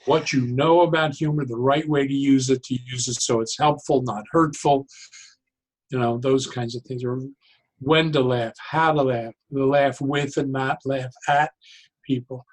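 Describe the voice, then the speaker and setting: A man speaking as an interview guest, explaining his ideas conversationally in a deliberate, halting way and repeating key phrases. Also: medium pitch, steady tone, poor audio. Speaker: interview guest, psychologist and joyologist.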